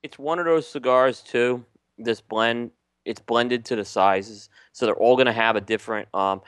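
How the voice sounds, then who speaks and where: A man's voice: mid-range voice, moderate pace, clean tone, no room sound, clean studio sound. A man co-hosting a casual podcast, talking at ease with frequent ums and restarts. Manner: relaxed, many ums and restarts. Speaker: podcast co-host. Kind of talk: casual chat reviewing cigars.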